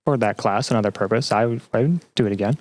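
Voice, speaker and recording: A young man as a podcast guest, chatting reflectively in a halting, earnest way. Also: lowish voice, darkish tone, dry recording. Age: young adult. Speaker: podcast guest, student teaching assistant. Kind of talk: casual reflective chat.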